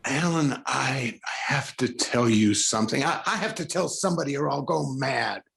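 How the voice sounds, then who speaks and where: A male actor playing a tormented, unraveling concert pianist in a 1940s-style radio drama, stammering and halting in an overwrought way. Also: mid-range voice, vocal fry, fairly bright tone. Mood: anguished, hesitant.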